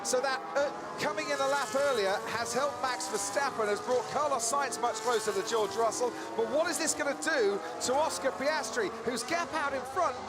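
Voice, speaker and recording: A man's voice: very high voice, normal speed, loud music behind the voice. A man giving live lead commentary on a motor race in an urgent, dramatic way. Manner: urgent, dramatic rhetorical questions. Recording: loud engine and trackside noise behind. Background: race cars and outdoor circuit ambience.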